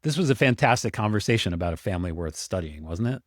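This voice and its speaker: Enthusiastic low-voiced male podcast host. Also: animated, fast, no room sound, clean studio sound. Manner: enthusiastic, rambling with many likes. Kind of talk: casual podcast chat.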